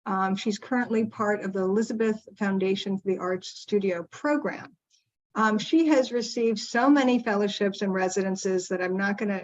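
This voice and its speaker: A woman moderating an online seminar, introducing the guest speaker warmly and admiringly with a few "um" fillers. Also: mid-range voice. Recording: slightly dull, lo-fi audio.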